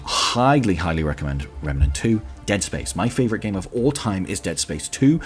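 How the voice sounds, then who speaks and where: A man's voice: low-pitched, darkish tone. A man hosting a podcast, giving an enthusiastic, emphatic countdown review in a conversational style. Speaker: podcast host.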